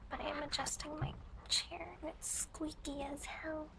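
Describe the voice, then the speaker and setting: High-pitched whispering woman, ASMR chat. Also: little pitch movement, moderate pace. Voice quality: whispery, breathy soft speech. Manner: playful, self-deprecating. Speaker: ASMR streamer. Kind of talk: ASMR, casual chat with listeners. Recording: clearly audible ambient background noise.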